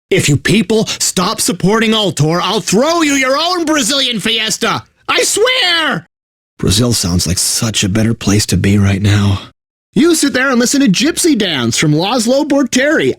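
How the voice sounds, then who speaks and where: A man's voice: fairly high pitch, fairly bright tone, no room sound, studio quality, exaggerated voice. A man playing a bitter, burnt-out corporate radio DJ in a video game, mixing announcer patter with sarcastic, exasperated asides. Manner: exaggerated announcer delivery with sardonic, exasperated asides.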